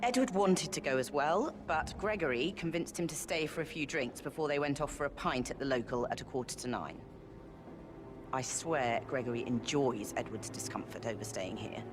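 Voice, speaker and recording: A sharp-tongued sister. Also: female voice, very deep voice, normal speed. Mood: gossipy. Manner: crisp, gossipy, impatient. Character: brisk upper-class British woman, sharp-tongued sister. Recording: game audio with music behind.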